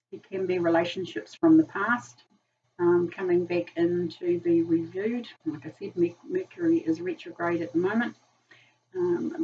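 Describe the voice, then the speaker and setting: Croaky older woman reading tarot cards. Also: sits low, dark tone. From New Zealand. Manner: rambling, many ums and "sort of type thing". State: croaky from a virus. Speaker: tarot reader. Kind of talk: casual tarot reading to camera. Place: small room, indoors. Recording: dull distant camera mic with faint hiss.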